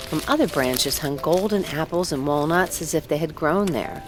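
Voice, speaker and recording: Expressive woman storyteller, lowish lively voice. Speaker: storybook narrator. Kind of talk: expressive read-aloud narration for children.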